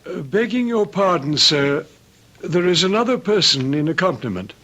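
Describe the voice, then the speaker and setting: An actor playing a mild-mannered, proper manservant in a radio drama, polite, tactful and a little hesitant. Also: male voice, high-pitched, big pitch swings, moderate pace, a bit bright, full character voice. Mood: discreet, apologetic. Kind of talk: old-time radio drama acting. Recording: dull lo-fi radio drama recording.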